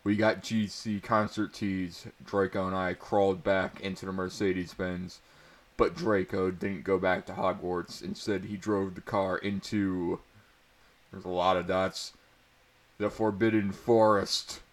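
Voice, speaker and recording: A man's voice: deep voice, level tone, a little slow. A man doing a mock-dramatic read-aloud of amateur fiction, with asides and a tendency to crack up. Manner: often breaks into laughter while reading. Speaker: amateur reader of fan fiction.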